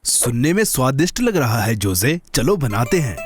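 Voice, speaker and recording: A man voicing a friendly, patient adult companion in a children's cartoon dub, gently questioning and guiding. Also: medium pitch, very animated, moderate pace, over music, close to an everyday voice. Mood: agreeable.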